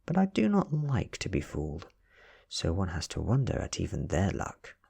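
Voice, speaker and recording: A British man reading a story aloud in a soft-spoken ASMR style, breathy and often close to a whisper, with slow, deliberate delivery. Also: sits low, wildly swooping pitch. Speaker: ASMR reader.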